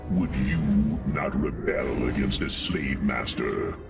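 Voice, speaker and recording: An actor playing a proud, thoughtful robotic warrior in a video game cutscene, earnest, measured and formal. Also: male voice, extremely low pitch, lively intonation, creaky, far from the mic, loud music behind the voice, exaggerated voice. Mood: measured, challenging. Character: deep-voiced male robotic warrior, proud, thoughtful, self-searching.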